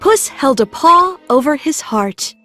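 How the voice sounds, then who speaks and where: A woman's voice: high-pitched, sing-song, a little slow, sharp tone, faint music behind. A woman narrating a scripted children's story as the storyteller.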